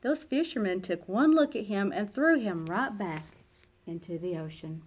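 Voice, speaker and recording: A woman narrating a children's picture book aloud in an expressive, dramatic way, with emphatic pauses and hushed phrase endings. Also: medium pitch. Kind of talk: expressive storybook read-aloud.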